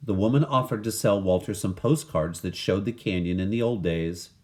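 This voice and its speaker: A man reading a children's story aloud in expressive scripted narration. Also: deep voice, normal speed. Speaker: storybook reader.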